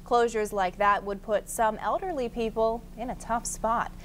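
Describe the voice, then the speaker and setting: Woman news anchor, higher-pitched American voice. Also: steady tone, moderate pace, slight background noise. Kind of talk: scripted news reading.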